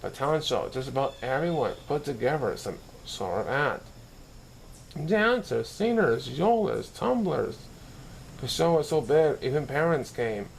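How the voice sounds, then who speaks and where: A man's voice: mid-range voice, big pitch swings, a little slow, creaky, slight background noise, poor audio. A man reading a children's story aloud as narration, now and then stumbling and correcting himself. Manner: occasional stumbles and self-corrections while reading. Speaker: story reader.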